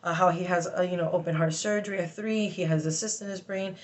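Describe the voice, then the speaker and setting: A woman venting in a casual personal story, blunt and sweary, with halting, emphatic, agitated speech broken by sudden vocal tics. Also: lowish voice, steady tone. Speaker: vlogger telling a personal story.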